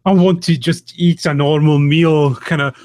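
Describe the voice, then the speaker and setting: A man's voice: on the high side, steady tone, normal speed, darkish tone, dry recording. A man chatting casually and conversationally as a podcast co-host.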